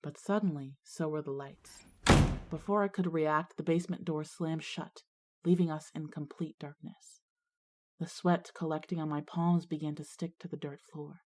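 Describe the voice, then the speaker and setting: A woman as a low-voiced, haunted schoolgirl narrator. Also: steady tone, moderate pace, darkish tone, slight background noise, lightly acted. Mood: suspenseful. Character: Marjory, haunted schoolgirl narrator, earnest and uneasy. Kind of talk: scripted first-person ghost story narration and dialogue.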